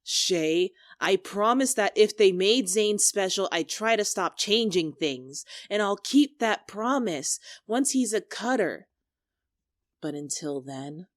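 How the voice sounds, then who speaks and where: A woman's voice: medium pitch, expressive, slow, no room sound, clean studio sound. A woman playing an earnest young woman in a dramatic argument, anxious but stubborn, pleading and defensive. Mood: resolute, pleading. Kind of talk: dramatic two-character argument performance.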